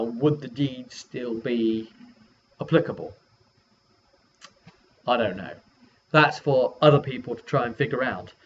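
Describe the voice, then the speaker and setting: A man casually recapping a tabletop role-playing campaign as its game master, acting out what the characters said in an animated way. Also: medium pitch, dull audio, slight background noise. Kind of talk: casual vlog storytelling.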